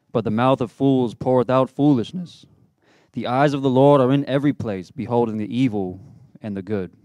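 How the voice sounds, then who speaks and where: A man, a pastor, reading scripture aloud in a slow, measured way and then leading a prayer. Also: mid-range voice, a little mellow, no room sound.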